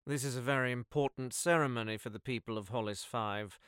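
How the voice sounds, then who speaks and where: A man voices a fussy robot butler with a British accent for a comic audio drama. The tone is sharp and dry, sardonic and prim, always expecting the worst. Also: mid-range voice, brisk, clean tone, close to an everyday voice. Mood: prim, wary. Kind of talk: comedic sci-fi audio drama.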